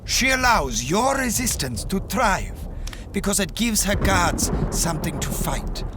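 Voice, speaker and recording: Sardonic male sidekick, post-apocalyptic drama: lively, higher-set voice, wary, heavy background noise. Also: a little slow. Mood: scornful. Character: wary, sardonic companion of a wasteland monster hunter. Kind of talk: audio drama acting. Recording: loud rumbling background noise.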